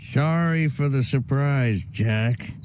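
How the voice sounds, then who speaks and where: An actor playing a calm, apologetic policeman and old friend in a video game, speaking in a measured, polite way. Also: medium pitch, slight background noise, natural voice. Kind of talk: scripted game dialogue.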